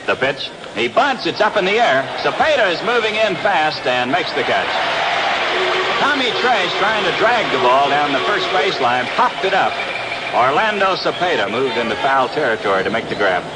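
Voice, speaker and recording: A man's voice: fairly high pitch, big pitch swings, moderate pace, very noisy. An American man calling baseball play-by-play in the style of a 1960s radio broadcast, recorded outdoors at a ballpark. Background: loud stadium ambience.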